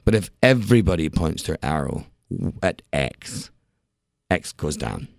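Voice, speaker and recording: A man's voice: a bass voice, a little slow, gravelly, no room sound. A man in his late thirties from Scotland, an actor telling stories with wry jokes and laughter as an interview guest in a podcast studio. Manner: expressive storyteller, wry jokes, frequent laughs. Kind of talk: casual interview talk.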